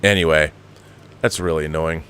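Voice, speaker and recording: A man hosting a film commentary livestream, talking casually and bluntly in an emphatic, halting, opinionated way, repeating himself for emphasis. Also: extremely low pitch, fairly even intonation, fairly bright tone, smooth. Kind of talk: casual opinionated rant, answering viewer comments.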